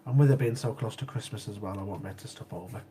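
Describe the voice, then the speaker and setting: A man's voice: fairly low pitch, rapid-fire, background noise. A man, hosting a casual livestream and chatting with viewers while sniffly from a cold. He repeats himself often and laughs easily. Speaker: livestream host. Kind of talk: casual chat with live chat viewers.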